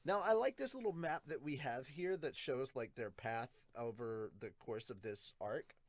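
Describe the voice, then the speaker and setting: A man's voice: medium pitch, moderate pace, no room sound. Casual male podcast host, dry close recording. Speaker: host of a manga review podcast. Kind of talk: casual review commentary.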